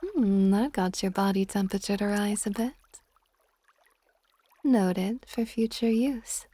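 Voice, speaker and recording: Slow, mellow dragon goddess, acted by a woman. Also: medium pitch, slightly rough. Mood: possessive, coaxing. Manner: slow, soft, intimate, often slipping into whispers. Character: ancient female water dragon goddess, possessive, tender, teasing. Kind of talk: ASMR romance audio roleplay.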